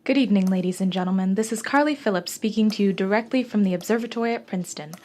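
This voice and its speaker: A young woman plays a radio drama reporter conducting a live interview. The voice sits at an average pitch. The delivery is formal, poised and inquisitive, with scripted phrasing. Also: very fast, darkish tone, soft background music, lightly acted. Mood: poised, inquiring. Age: young adult. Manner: formal, clearly enunciated, scripted.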